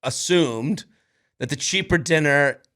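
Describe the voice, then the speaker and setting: A man in his thirties co-hosting a podcast in casual chat, animated, acting out quoted lines. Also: a little high, slow pace. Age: 30s. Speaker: podcast co-host.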